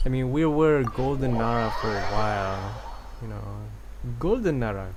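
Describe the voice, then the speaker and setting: A man from Malaysia chatting casually on a game livestream, musing aloud in a halting way as he reacts. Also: medium pitch, animated, crowd noise. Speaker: game streamer. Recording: noisy livestream with game sound behind.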